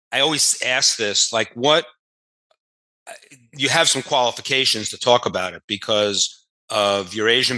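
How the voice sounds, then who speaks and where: A man's voice: mid-range voice, bright, forceful tone, low-quality recording. A man hosting an interview over a video call, asking rambling questions with frequent restarts and enthusiastic praise. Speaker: host.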